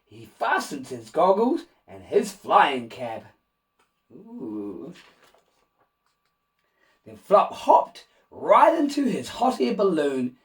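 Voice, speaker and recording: A man reading a rhyming children's picture book aloud in an expressive, animated way, with asides to young listeners. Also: a little high, far from the mic. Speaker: children's storybook reader and host.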